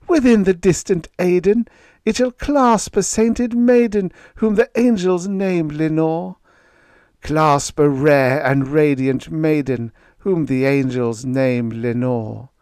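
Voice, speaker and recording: Male narrator as anguished grieving man, raised pitch, impassioned, theatrical, pleading, furious, gothic poetry, dry recording. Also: a little mellow, clear, resonant tone, no room sound.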